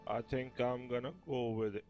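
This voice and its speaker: A man's voice: medium pitch, flat delivery, normal speed, dull audio. A man giving casual gameplay commentary as he plays, sounding distant under game music. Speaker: gamer playing and commenting.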